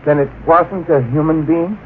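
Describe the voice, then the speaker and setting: An actor playing a jittery, bewildered expedition member with a stammering, excitable delivery in an old-time radio drama. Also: male voice, on the high side, normal speed, lightly acted. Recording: muffled, faintly noisy old radio recording.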